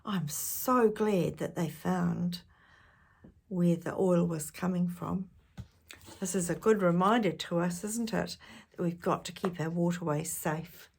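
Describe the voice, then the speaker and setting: Low husky woman reading a children's story. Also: measured pace. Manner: expressive, addresses young listeners with questions. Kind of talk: read-aloud storytelling with chatty asides.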